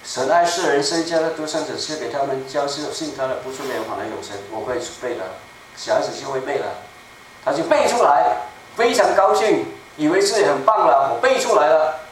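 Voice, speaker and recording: A man in his seventies preaching a sermon in a small church, speaking slowly and emphatically and repeating his key phrases. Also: fairly high pitch, lively intonation, crowd noise. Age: 70s. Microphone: room mic from a far camera. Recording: echoey room, distant mic, faint noise.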